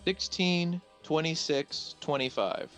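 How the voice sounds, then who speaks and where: A man's voice: on the high side, slow, fairly bright tone, soft background music. A man running a tabletop roleplaying game as game master in a casual style.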